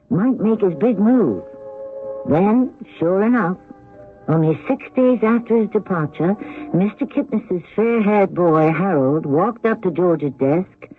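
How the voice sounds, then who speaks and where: An actor playing a wry male storyteller, reading scripted narration for an old-time radio mystery. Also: high voice. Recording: dull lo-fi radio recording.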